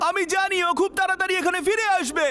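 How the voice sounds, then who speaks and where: A man's voice: extremely high pitch, steady tone, fast talker, a little hiss or hum. A man voicing a wise forest elder in a children's cartoon, explaining and urging on his young guardians. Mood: calm, explaining. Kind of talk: animated cartoon dub acting. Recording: loud music over the voice.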